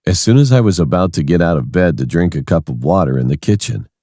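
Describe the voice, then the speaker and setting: A man's voice: sits low, animated, fast talker, mellow, no room sound, clean studio sound. A man reading a scripted first-person horror story as its narrator.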